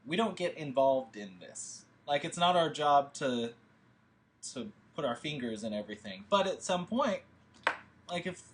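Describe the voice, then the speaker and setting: A man's voice: on the high side, animated, unhurried, a little hiss or hum. A man leading a film table read, talking through plans in a rambling, hesitant way with many fillers. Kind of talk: casual discussion.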